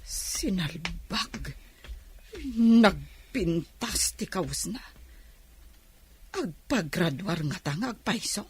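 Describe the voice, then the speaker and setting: Gossipy Ilocano auntie, very husky and breathy, swooping intonation, middle-aged, skeptical, radio drama. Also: female voice, on the low side, lively intonation, takes it slowly, long pauses, exaggerated voice. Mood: skeptical, sneering.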